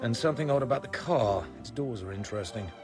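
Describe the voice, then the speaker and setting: An actor playing a cool, wry British secret agent in a radio drama, clipped, dry and understated. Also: male voice, medium pitch, lively intonation, fast, lightly acted. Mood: clipped, dutiful. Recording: loud music behind.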